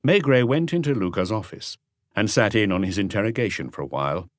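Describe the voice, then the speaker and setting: Low-voiced male audiobook narrator, dry close sound. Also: lively intonation, a little fast, no room sound. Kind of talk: scripted literary narration of a crime novel.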